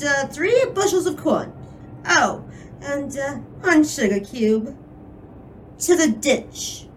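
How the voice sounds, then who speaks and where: A woman's voice: high voice, fairly bright tone, slight background noise, exaggerated voice, low-quality recording. A woman playing a brazen, flirtatious and sly 1920s dame in a period audio drama, coy and teasing. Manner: coy, teasing, drawn-out phrasing.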